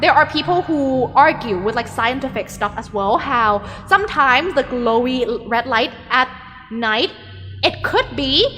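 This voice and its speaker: A woman's voice: sits high, animated, unhurried, pushed and bright, in a large hall, soft background music. Chatty Thai-accented woman streamer. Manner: chatty, filler-heavy, thinks aloud with frequent "like". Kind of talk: casual chat reading viewer messages.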